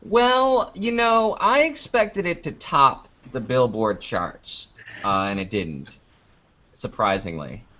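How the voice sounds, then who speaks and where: A man's voice: medium pitch, lively intonation, slow pace, pushed and bright. A man chatting casually as an interview guest, rambling a little as he thinks aloud. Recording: dull lo-fi online call audio.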